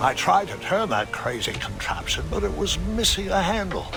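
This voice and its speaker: A man playing a whimsical old grandfather who tells his adventures theatrically in a storybook-style game, with a gravelly voice. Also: high voice, very animated, normal speed, music in the background, exaggerated voice. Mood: storytelling.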